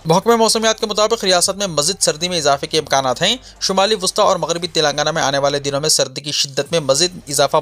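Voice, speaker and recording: A man's voice: fairly high pitch, a bit bright. A man reading a scripted news bulletin in a formal manner. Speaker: news reader.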